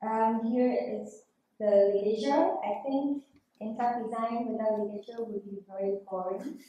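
A woman's voice: a little high, steady tone, measured pace, mellow, dull audio, slight background noise. A woman whose first language is Vietnamese presents her typeface at a conference lectern, talking hesitantly with many ums and uhs. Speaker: type design student presenting her typeface. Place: large hall, at a lectern. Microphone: lectern mic, camera far off. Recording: echoey hall from far off.

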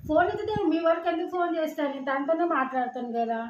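Woman, Telangana accent, high voice, insistent and argumentative caller, repetitive informal phrasing, echoey room. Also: racing. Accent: Telangana Telugu. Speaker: prank caller. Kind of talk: staged phone conversation, informal. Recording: dull, echoey room with background noise.

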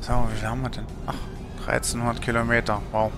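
A man's voice: mid-range voice, little pitch movement, quick pace, music in the background. A man in his thirties from Germany, chatting casually on a gaming livestream in a rambling, colloquial way, thinking aloud. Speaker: streamer.

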